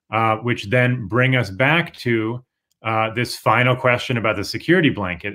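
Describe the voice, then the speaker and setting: A man's voice: on the low side, pushed and bright. A young man co-teaching an online writing workshop, explaining patiently and hedging with "sort of" and "kind of", over a webcam. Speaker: writing instructor. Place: room at home. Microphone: webcam video call.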